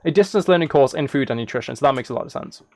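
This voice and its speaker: A man chatting casually on a gaming livestream, rambling haltingly with playful, mock-serious dry humour. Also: medium pitch, lively intonation, darkish tone, dry recording. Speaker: live streamer.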